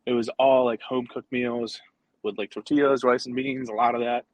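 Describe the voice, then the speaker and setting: A man's voice: medium pitch, fairly quick, no room sound, low-quality recording. A man whose first language is Spanish, a rugby coach talking as a guest. He speaks casually and easily, with many "like" fillers. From United States, Guatemalan family. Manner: relaxed and easygoing, many "like" fillers. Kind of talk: casual interview chat.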